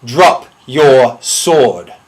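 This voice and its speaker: A man playing a menacing swordsman in a deliberate, contemptuous, theatrical monologue. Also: fairly high pitch, animated, natural voice, poor audio. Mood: cold menace. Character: cold, menacing swordsman threatening a slow revenge. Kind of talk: dramatic monologue.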